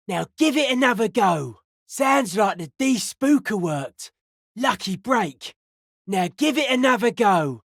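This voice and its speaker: Slow-drawling young club kid. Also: male voice, sits high, no echo, clean studio sound, heavily acted. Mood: earnest, urgent. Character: young counterculture club kid, spooked, earnest, dance-music obsessed. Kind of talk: video game voice acting.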